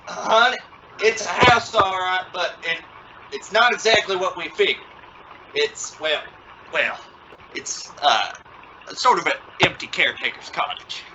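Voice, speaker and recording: A man's voice: high voice, measured pace, muffled recording. A man playing an exasperated, stammering father in an old-time radio family comedy. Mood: sheepish. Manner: stammering, hesitant, blustering. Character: flustered, exasperated, good-natured suburban father and husband. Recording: very noisy radio-play recording.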